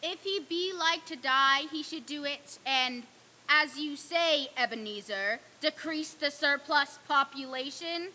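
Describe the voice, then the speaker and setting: A woman playing a stern, commanding Christmas ghost, speaking slowly and declamatorily in an old time radio stage reading. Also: high-pitched, pushed and bright, heavily acted. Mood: stern, ominous. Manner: slow, declamatory. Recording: reverberant hall, faint hiss.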